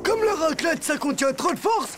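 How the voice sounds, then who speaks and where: A woman's voice: high-pitched, lively intonation, normal speed. A woman voicing an eager, chatty, bossy schoolboy in a French cartoon, full of enthusiasm. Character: eager, chatty schoolboy, self-appointed manager and food lover. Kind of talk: French animated cartoon acting. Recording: music behind the voice.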